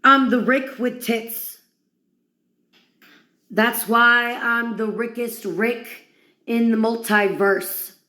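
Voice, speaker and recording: Woman, slow delivery, slightly high pitch, mocking, crude, theatrical monologue, roomy echo. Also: fairly even intonation, sharp tone. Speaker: performer of villain monologues. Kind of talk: dramatic mocking monologue to one listener.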